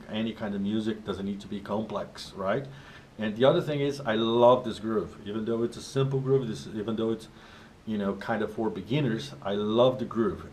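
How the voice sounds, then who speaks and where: A man whose first language is Portuguese, talking about drumming as a teacher in a relaxed, conversational way with frequent restarts. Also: medium pitch. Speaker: drum teacher. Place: drum studio. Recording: echoey room with faint ambient noise.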